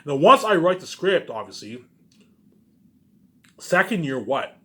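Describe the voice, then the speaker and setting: A man's voice: on the high side, big pitch swings, measured pace, fairly bright tone. A man giving a rambling, informal monologue in a boastful, repetitive, emphatic way, swearing now and then. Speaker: vlogger.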